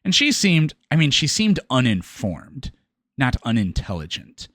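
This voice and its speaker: A man giving casual, off-the-cuff reaction commentary, halting and often stammering as he corrects himself. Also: medium pitch, wildly swooping pitch, a bit bright, no echo, clean studio sound. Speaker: commentator reacting to a debate video.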